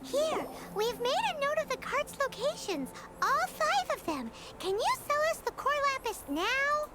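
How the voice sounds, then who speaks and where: Squeaky childlike fairy sidekick. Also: female voice, very animated, measured pace, exaggerated voice. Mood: brisk, pleased. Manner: refers to self in third person, perky. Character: tiny childlike fairy companion, chirpy and cheeky. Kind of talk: video game character acting. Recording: loud game music behind. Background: game music.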